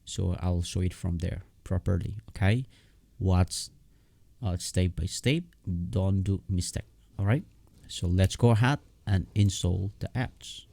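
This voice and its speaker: Very deep, mellow man giving a software tutorial. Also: vocal fry, no room sound. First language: not English. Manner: repetitive, halting step-by-step explaining. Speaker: tutorial host. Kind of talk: casual software tutorial, screen walkthrough.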